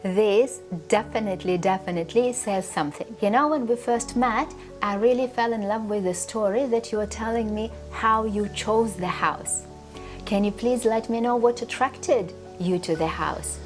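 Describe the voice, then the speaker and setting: A woman's voice: medium pitch, moderate pace. A woman interviewer in a polished promotional interview, enthusiastic and full of praise. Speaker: interviewer, real estate CEO. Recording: music playing underneath.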